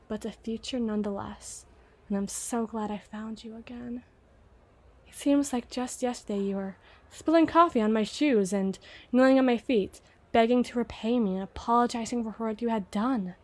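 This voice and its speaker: A woman's voice: mid-range voice, a little mellow, lightly acted. A woman playing a rich, possessive young heiress who is condescending and doting, with a haughty, teasing manner, in an ASMR roleplay. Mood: smug. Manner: haughty, teasing, drawn-out words. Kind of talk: ASMR roleplay, scripted monologue.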